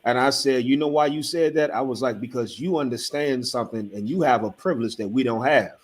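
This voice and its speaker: A man's voice: medium pitch, fairly quick. Emphatic storytelling man. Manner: storytelling, acts out dialogue, rising to emphatic. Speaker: panel member. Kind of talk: online panel discussion.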